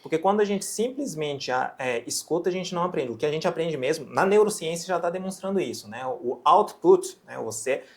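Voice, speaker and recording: A man from Japan whose first language is Japanese, speaking with a Japanese accent as he explains his teaching ideas in an interview, measured and explanatory. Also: fairly high pitch, animated. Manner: measured, explanatory, often ends phrases with a questioning tag. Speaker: physician and medical educator.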